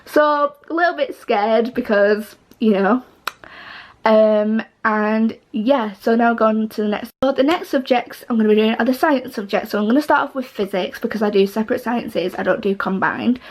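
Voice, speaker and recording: Teen girl vlogger, somewhat high, slightly croaky, rambling and chatty. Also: normal speed. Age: teens. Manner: chatty, rambling with many fillers and restarts. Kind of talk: casual chat to camera.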